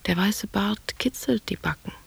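A woman playing a lonely, dreamy lover in a literary radio play, speaking her inner thoughts in hushed, breathy fragments. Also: mid-range voice, a little slow, heavily acted. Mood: dreamy longing. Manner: hushed, introspective, short fragmentary phrases.

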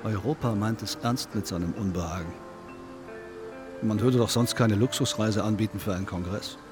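Actor as laconic, low-voiced undertaker over music. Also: male voice, natural voice. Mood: polite, distracted. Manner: dry, detached, deliberate. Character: laconic, morbidly musing male undertaker at a congress. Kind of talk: German radio drama acting.

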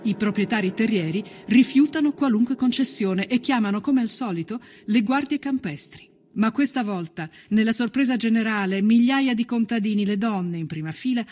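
A woman narrating a scripted historical documentary with solemn, deliberate, measured phrasing. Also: on the high side, a little mellow.

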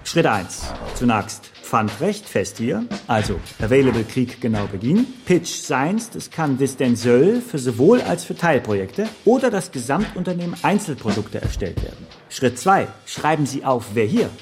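A mid-pitched, very animated male voice with music behind. It is an actor playing a slick seminar announcer who sells and instructs like a corporate tutorial in a satirical radio play. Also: normal speed, few pauses, close to an everyday voice. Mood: instructing. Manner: promotional, instructing step by step. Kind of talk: parody corporate tutorial voice-over.

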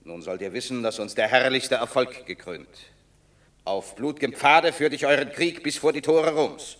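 An actor playing a proud, imperious warrior in a classical radio drama, with solemn declamation. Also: male voice, medium pitch, moderate pace, a bit bright, close to an everyday voice. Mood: proud, formal. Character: proud, imperious male Roman warrior returning from war. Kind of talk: classical stage-verse radio drama acting.

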